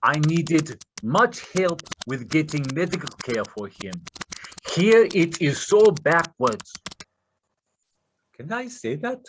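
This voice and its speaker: A desperate Russian con man, acted by a man in a readers theater play, speaks with a Russian accent in halting, broken English. His voice sits a little high and swings widely in pitch, yet he moves extremely slowly, as if composing each phrase, over faint noise. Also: very animated, a bit bright, full character voice, rough recording. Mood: pleading, ingratiating. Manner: halting broken English, muttering asides to himself. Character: desperate Russian male scammer posing as Nigerian suitor. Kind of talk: staged readers theater acting.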